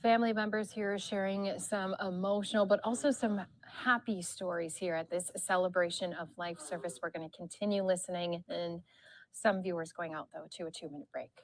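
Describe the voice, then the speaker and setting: Tv news lady, lowish voice, smooth steady broadcast read. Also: low-quality recording. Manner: smooth, measured broadcast delivery. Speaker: news reporter.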